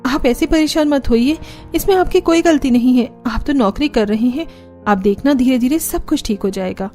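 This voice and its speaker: A woman acts out a clever young Indian housewife in a cartoon tale, respectful and reassuring. Her voice is fairly high, with light music behind. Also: moderate pace, a little mellow, lightly acted. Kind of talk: Hindi cartoon story dialogue.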